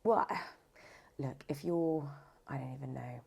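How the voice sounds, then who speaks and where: A woman's voice: a bass voice, slow, vocal fry, warm tone, husky. A woman speaking casually as an interview guest, emphatic and often rephrasing as she goes. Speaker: voice actor, audiobook narrator, podcast guest.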